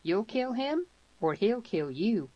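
Lady doing a tough old cowboy for a western audiobook, folksy n blunt, pitched up, muffly sound. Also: very animated, slow pace, warm tone, dry recording, highs cut off. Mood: grave warning. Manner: folksy rustic grammar, emphatic. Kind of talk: western audiobook character reading.

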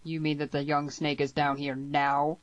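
A woman voicing a stern, indignant older rancher father in a western audiobook, speaking deliberately on a dull recording. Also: sits low, little pitch movement, dry recording, lightly acted. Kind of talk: western audiobook character reading.

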